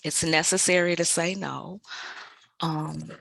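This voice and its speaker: A woman in her fifties, a podcast host, reflecting in a measured, deliberate way and repeating phrases for emphasis. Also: deep voice, flat delivery, vocal fry, a bit bright, no room sound, rough recording. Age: 50s. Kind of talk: reflective personal monologue.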